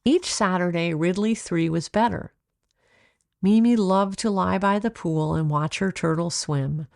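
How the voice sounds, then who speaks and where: A woman narrating a children's storybook in a measured, expressive way, like an author reading aloud. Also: lowish voice, vocal fry, no echo, clean studio sound.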